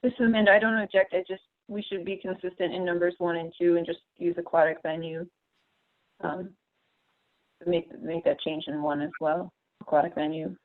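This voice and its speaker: A woman's voice: lowish voice, fairly even intonation, brisk. A woman giving explanatory comments in a committee meeting over a phone line. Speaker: committee participant. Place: phone conference call. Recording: tinny, narrow phone line.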